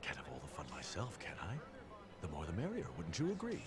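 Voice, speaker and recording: An actor playing a determined older explorer in a video game, speaking formally and showily like a practised orator. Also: male voice, low-pitched, wildly swooping pitch, a little fast, over loud music. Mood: jovial. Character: determined, grandiose older explorer addressing the press. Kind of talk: video game cutscene acting, formal speech. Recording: noisy game audio from a stream.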